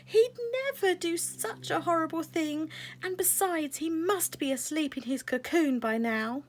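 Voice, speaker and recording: Woman as an earnest, high-pitched butterfly fairy girl. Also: measured pace, slight background noise. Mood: upset, defensive. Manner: earnest, pleading. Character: kind, earnest young female butterfly fairy, pleading and caring. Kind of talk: children's storybook character voice.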